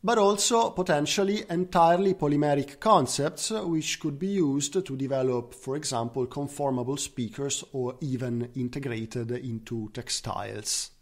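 A man's voice: mid-range voice, normal speed, hardly any pauses. A man presenting scientific research as a conference speaker, in the style of a technical slide talk. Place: recorded online slide talk.

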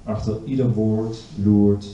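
A man's voice: on the low side, flat delivery, slow, mellow, dull audio, slight background noise. A middle-aged man reading a poem from paper at a stand microphone, grave and weary in manner. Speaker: poet. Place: small indoor stage with curtains. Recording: roomy distant live stage recording.

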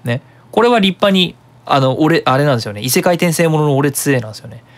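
A web radio host giving a casual, explanatory monologue in an animated manner. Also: medium pitch, fast.

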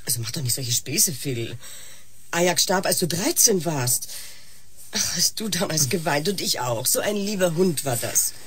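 A woman's voice: low voice, lively intonation, normal speed, slightly rough, heavily acted. Tender, fussing mother. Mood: gentle. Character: fussing, anxious, tender middle-aged mother. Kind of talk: German science-fiction radio drama acting.

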